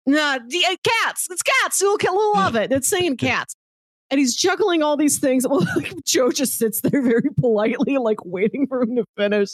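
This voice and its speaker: A woman with a fairly high voice chats as a podcast co-host about film and theater, recorded close and dry. She retells things in an animated, conversational way, slipping into quoted lines. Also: normal speed, no room sound. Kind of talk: casual film and theater discussion.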